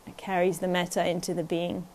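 Soft-spoken woman teaching meditation. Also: on the low side, level tone, a little hiss or hum, poor audio. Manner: gentle, unhurried, often checks in with "yeah?". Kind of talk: guided meditation talk at a retreat.

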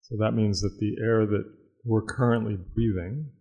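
A man teaching a guided meditation, speaking in a measured, reflective way with long pauses. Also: fairly low pitch, fairly even intonation, warm tone, muffled recording.

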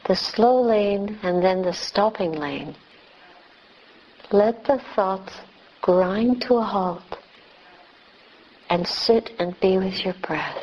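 Slow-speaking woman guiding meditation. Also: mid-range voice, muffled recording. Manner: gentle, measured, with long pauses between short phrases. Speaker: meditation teacher, Buddhist nun. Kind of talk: guided meditation.

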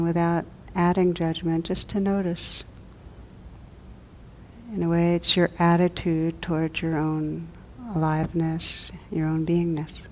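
A woman guides a meditation in a gentle, mellow voice that stays very even in pitch. She speaks very slowly and reflectively, leaving long pauses between phrases. The recording is a little dull. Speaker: meditation teacher. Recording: dull muffled audio, low rumbling noise.

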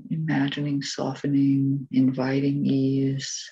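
A woman leading a guided meditation as its teacher, giving gentle, measured instructions with long pauses. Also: extremely low pitch, completely flat delivery, husky, muffled recording.

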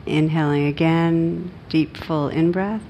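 Slow, low-pitched woman guiding meditation. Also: poor audio. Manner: gentle, unhurried instruction with long pauses. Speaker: meditation teacher. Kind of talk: guided meditation. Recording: steady background noise with low rumble.

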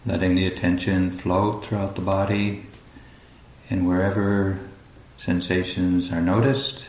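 A man leading a guided meditation as a teacher, speaking calmly and unhurriedly with long pauses between phrases. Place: indoor room at a group retreat. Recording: roomy echo, faint low rumbling noise.

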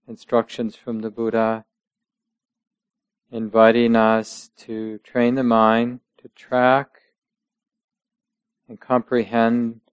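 A man leads a guided meditation at a very slow pace, in a smooth, clear voice that stays very even in pitch. He speaks gently, in short measured phrases separated by long pauses. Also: no room sound. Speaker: meditation teacher.

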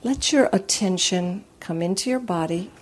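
A woman leading a guided meditation as a teacher, speaking in a soothing, gentle, unhurried way with long pauses. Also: lowish voice.

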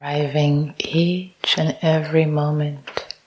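Casting: female meditation teacher, low register, very slow and soft, breathy near-whisper, calm repeated phrases. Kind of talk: guided meditation. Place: meditation retreat talk.

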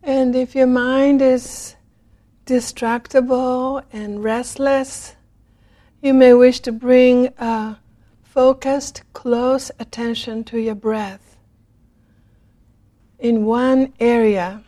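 A woman leading a guided meditation in a gentle, unhurried manner, leaving long pauses between phrases. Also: on the high side, fairly even intonation. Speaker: meditation teacher.

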